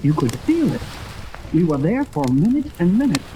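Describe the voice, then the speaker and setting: An actor playing an earnest, excitable young American radio operator in a 1930s radio serial. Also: male voice, sits high, normal speed. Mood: eager. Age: young adult. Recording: noisy old radio recording, steady crackling hiss.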